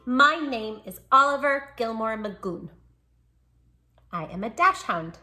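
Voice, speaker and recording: A woman voicing a small, proud and affectionate male dachshund from a storybook diary, timid and stubborn by turns, with playful, drawn-out phrasing. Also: on the high side, lively intonation, bright, forceful tone, faint music behind, full character voice. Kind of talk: storybook diary read aloud.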